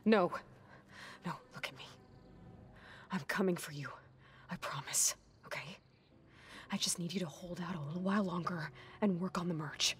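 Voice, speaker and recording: An actress playing a stubborn, protective young woman warrior in a video game, speaking earnestly and intensely, often in a hushed voice. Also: on the low side, moderate pace, long pauses, natural voice. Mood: tender, reassuring. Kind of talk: video game cutscene acting.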